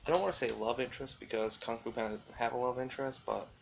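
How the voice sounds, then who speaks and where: Man, mid-range pitch, casual vlog commentary, tentative, rambling, thinking aloud, slightly noisy recording. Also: fast. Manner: tentative, thinking aloud, often qualifying himself. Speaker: vlogger. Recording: clearly audible background noise.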